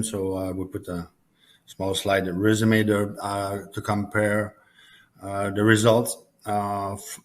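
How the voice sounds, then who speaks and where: Male finance executive, low flat voice, hesitant, earnings call presentation. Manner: halting, hesitant delivery. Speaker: chief financial officer presenting.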